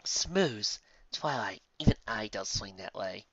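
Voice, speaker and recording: A casually smug unicorn mare, acted out by a story narrator, flirtatious and sly. The lines are teased out softly with lively inflection in a fan fiction reading, on a slightly muffled recording. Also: medium pitch, slow, husky, dry recording, full character voice. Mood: sly, teasing. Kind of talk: fan fiction character dialogue.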